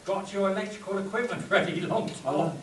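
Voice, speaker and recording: A composed, commanding leader of adventurers, played by a man in a spoof radio play read live. The voice sits a little high and comes from far off in an echoey room, with an audience audible. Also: fairly quick, a crowd in the background, close to an everyday voice. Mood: calm, commanding. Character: commanding, composed adventure hero leading his crew. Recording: echoey hall, distant mic, audience noise.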